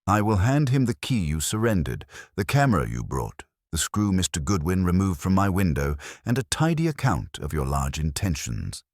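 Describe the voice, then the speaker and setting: A man voicing an imperious, erudite older detective in an audiobook, unhurried and pompous, with ornate, commanding phrasing. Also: sits low, big pitch swings, dry recording, clean studio sound, voice pushed up, full character voice. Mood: stern, commanding. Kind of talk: audiobook detective story dialogue.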